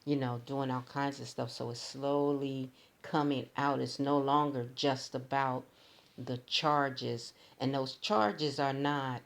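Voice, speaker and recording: A hoarse man with a raspy voice, talking casually to his viewers in a rambling, halting way with many restarts. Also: medium pitch, steady tone. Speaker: commentary channel host.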